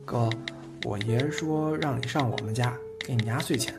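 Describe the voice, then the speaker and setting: A man's voice: medium pitch, normal speed, vocal fry, over loud music, close to an everyday voice. A man acting a cheerful, doting young man in a Chinese audio drama, playful and boyish. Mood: tender.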